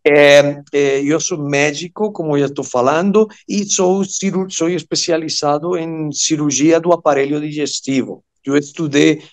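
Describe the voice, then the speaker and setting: A man of fifty-one, a native Spanish speaker with a Venezuelan accent, telling his story as a guest in a halting manner, searching for words. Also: fairly high pitch, steady tone. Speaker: guest, surgeon and amateur radio operator. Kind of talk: personal storytelling in an interview.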